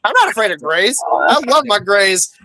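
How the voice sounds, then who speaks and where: A woman's voice: medium pitch, big pitch swings, normal speed, sharp tone, dry recording, low-quality recording. A woman in her thirties in casual stream chat, talking with lots of "like". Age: 30s. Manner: chatty, lots of "like".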